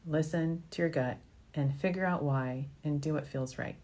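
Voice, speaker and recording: Female medical show host, low register, frank and reassuring, chatty advice to viewers. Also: normal speed, warm tone, a little hiss or hum. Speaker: fertility doctor hosting a question-and-answer show. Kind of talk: informal advice talk, answering viewer questions.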